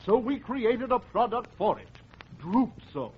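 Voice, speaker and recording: An actor playing a pompous, easily flustered advertising executive in a 1940s radio comedy. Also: male voice, very high voice, lively intonation, measured pace. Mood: self-important. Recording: muffled, noisy 1940s radio, studio audience.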